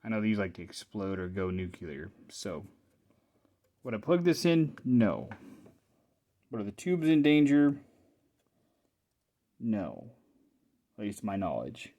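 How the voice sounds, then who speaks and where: A man's voice: fairly low pitch, sing-song, dark tone. Casual man thinking aloud while tinkering. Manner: informal, thinking aloud in short halting phrases. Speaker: hobbyist repairer presenting his own video. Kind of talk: casual hands-on show-and-tell commentary.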